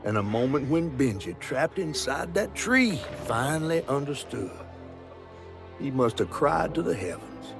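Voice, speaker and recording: Folksy Southern talking fish, swooping delivery. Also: male voice, mid-range voice, expressive, unhurried, creaky, music in the background. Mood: somber storytelling. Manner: folksy, theatrical, playfully teasing. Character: giant talking fish, folksy sly storytelling trickster. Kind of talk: video game character acting.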